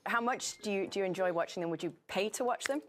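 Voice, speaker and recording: A woman's voice: mid-range voice, fast talker, crowd noise. Woman sports reporter, teasing questions. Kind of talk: studio sports interview. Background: music.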